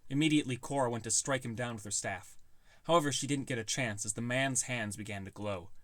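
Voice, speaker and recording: A man's voice: mid-range voice, fairly quick. A man reading scripted first-person fantasy narration as an audiobook narrator.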